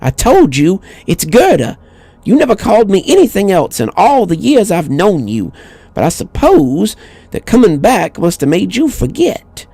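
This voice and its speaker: Man voicing a high-pitched, bitter old woman. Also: huge pitch swings, normal speed, mellow, slightly rough, no echo, heavily acted. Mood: hurt, accusing. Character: frail, bitter old widow confessing to murder. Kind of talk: acted horror story dialogue.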